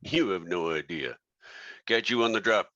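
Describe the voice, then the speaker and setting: A man's voice: low voice, expressive, normal speed, bright, forceful tone, no echo, highs cut off. A man playing a laid-back, streetwise hip hop artist with slangy, unbothered delivery in a screenplay table read. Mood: cool, easygoing.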